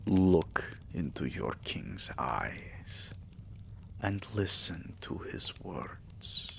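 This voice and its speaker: A man acts out a vampire king doting on a lover in intimate ASMR. The voice is very low and breathy, sinking into gravelly murmurs. Each phrase comes very slowly, tender and possessive, over a faint hiss. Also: animated, exaggerated voice. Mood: loving, earnest. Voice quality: breathy, half-whispered, gravelly. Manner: slow, soothing, affectionate murmuring.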